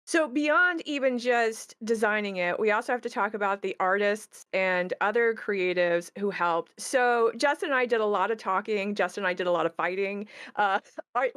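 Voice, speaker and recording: A woman's voice: mid-range voice, fairly bright tone, clear, resonant tone. A woman talking casually as a game creator, with a wry, good-humoured manner. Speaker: game creator discussing her project. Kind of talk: casual discussion.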